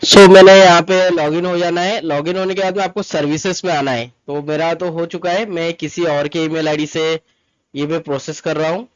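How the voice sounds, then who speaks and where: A man's voice: a little high, steady tone, moderate pace, smooth, no echo. A man giving an informal step-by-step screen tutorial, repeating each instruction and mixing in English terms. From Mumbai, India. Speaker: tutorial presenter, travel agent. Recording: dull lo-fi close dry recording. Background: voice-over to screen recording.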